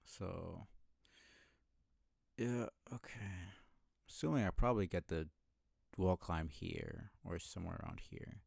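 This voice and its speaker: A man with a low voice and a gravelly, creaky edge streams a video game. He thinks aloud in a casual, rambling way, musing over things as he goes. The sound is close and dry. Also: slow, pauses often, darkish tone, no room sound. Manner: musing, rambling, mild swearing. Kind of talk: casual live gameplay commentary, thinking aloud.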